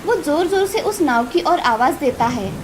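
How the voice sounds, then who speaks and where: A woman's voice: high-pitched, expressive, moderate pace. A woman narrating a scripted moral story as a storyteller. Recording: clearly noisy, with ambience behind.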